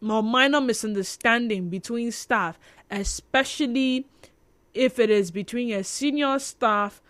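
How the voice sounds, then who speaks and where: A woman with a Nigerian accent hosting live radio, reading listener messages aloud in an expressive, conversational way. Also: fairly high pitch, measured pace, no room sound. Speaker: radio show host. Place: radio studio.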